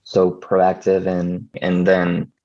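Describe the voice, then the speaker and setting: A man's voice: deep voice, completely flat delivery, takes it slowly, highs cut off. A man from Venezuela, a video editor talking over a call in a hesitant way, restarting his words often. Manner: hesitant, frequent restarts and stammers. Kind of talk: casual video call conversation.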